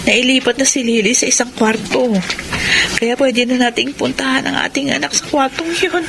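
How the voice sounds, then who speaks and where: An actress playing a repentant wife and mother in a radio drama, soft, humble and polite. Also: high voice, steady tone, moderate pace, creaky, noisy background. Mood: gentle.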